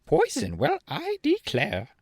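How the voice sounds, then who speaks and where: A man voicing a proud, indignant female hen with a shrill, squawky voice, clucking and defiant. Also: wildly swooping pitch, measured pace, a bit bright, no echo, clean studio sound, heavily acted.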